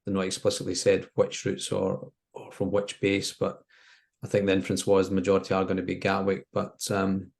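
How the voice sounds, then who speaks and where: Lowish voiced guy, pretty monotone, cohosting a casual expert chat, measured n a bit tentative. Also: creaky. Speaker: aviation expert co-host. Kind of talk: casual discussion.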